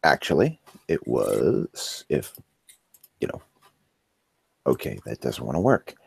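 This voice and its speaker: Male co-host, deep and unhurried, animated intonation, deadpan wit. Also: gravelly, breathy edge, dry recording. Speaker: podcast co-host. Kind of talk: casual chat.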